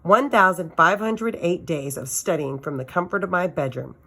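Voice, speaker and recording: A woman's voice: fairly low pitch, normal speed, sharp tone, husky. A woman reading a children's novel aloud as a teacher does for students, with expression.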